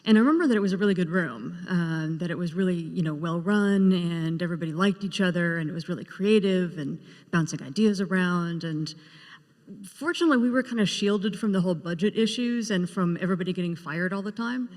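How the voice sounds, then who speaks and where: A woman's voice: on the low side, a little fast, a little mellow. Woman reminiscing in an echoing hall. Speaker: television writer, panel guest. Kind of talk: casual panel recollection. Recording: echoey hall with long reverb.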